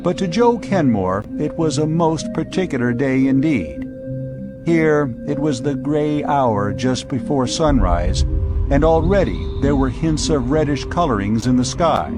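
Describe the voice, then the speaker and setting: A male narrator reads a science fiction audiobook in a voice of medium pitch. The delivery is scripted storytelling, and music plays clearly behind the voice throughout.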